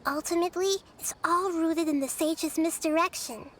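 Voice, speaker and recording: A woman voicing a gentle, wise and humble young girl deity in a video game, speaking in a measured, earnest way. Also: extremely high pitch, fairly even intonation, a little hiss or hum. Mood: serious, thoughtful. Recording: music behind the voice. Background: game soundtrack music.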